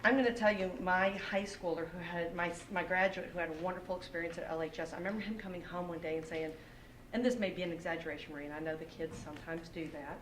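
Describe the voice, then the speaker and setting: A middle-aged woman from Texas with a Texan accent, giving an earnest public comment as a parent at a school board meeting. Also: lowish voice. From Texas, United States. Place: indoor public meeting room. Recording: echoey, noisy meeting room, distant microphone.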